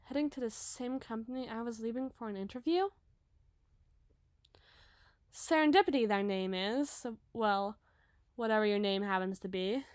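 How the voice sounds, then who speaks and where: A sweet, dramatic young giantess , played by a woman, speaks in a high, girlish voice. Her delivery is chatty and openly emotive, in the manner of an audio roleplay. The sound is very dry, with no room around it. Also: clean tone, no echo, lightly acted. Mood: friendly. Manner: emotive, chatty, halting when flustered.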